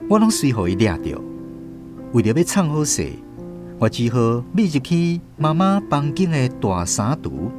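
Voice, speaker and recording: A man with a Taiwanese accent, narrating scripted radio stories expressively as host. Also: fairly high pitch.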